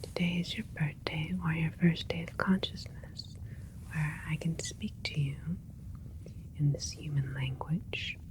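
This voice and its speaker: A woman playing a gentle alien scientist in a whispered ASMR sci-fi roleplay, explaining things in a soothing, clinical way. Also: low voice, unhurried. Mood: gentle, proud. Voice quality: breathy whisper throughout. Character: gentle alien scientist doctor, proud of a hybrid creation.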